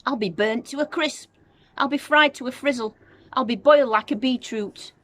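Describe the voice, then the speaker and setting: A woman reading a children's storybook, voicing a cantankerous, wild old grandmother who yells excitably. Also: sits high, moderate pace, pitched above the performer's own voice. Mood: outraged yelling. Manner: yelling, excitable, exclamatory.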